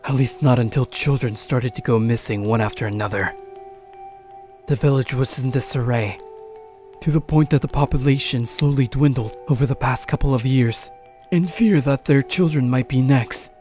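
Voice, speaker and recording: Guy acting as grave old grandpa in a horror story, mellow voice, music behind, very deliberate. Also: mid-range voice, close to an everyday voice. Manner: solemn, deliberate, expository. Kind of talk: scripted horror audio drama.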